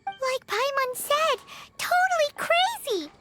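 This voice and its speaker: A tiny floating fairy sidekick from a video game. The voice is extremely high, squeaky and childlike, bouncing all over in excitable, cheeky exclamations, with music playing behind it. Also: female voice, slow pace, exaggerated voice. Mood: cheeky, incredulous. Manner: refers to self in third person, exclamatory. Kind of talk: video game character acting.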